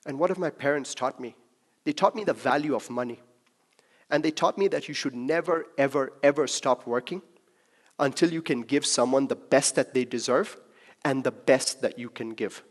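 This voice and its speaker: A man's voice: mid-range voice, moderate pace. Young man giving a conversational talk. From Kenya. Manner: conversational, jokes with the crowd. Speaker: speaker at a talk. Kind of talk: personal talk to a live audience.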